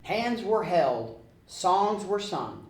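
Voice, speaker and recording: Female narrator for kids' story, mid-pitched, lively melody, reverberant room. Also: drawn-out words, very noisy. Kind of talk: scripted children's story reading. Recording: roomy echo.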